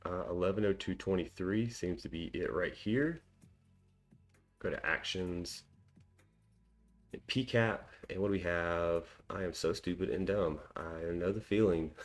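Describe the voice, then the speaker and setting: A man hosting a cybersecurity walkthrough, thinking aloud in a casual, self-deprecating way with frequent ums and small laughs. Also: sits low. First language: English. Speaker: cybersecurity tutorial host.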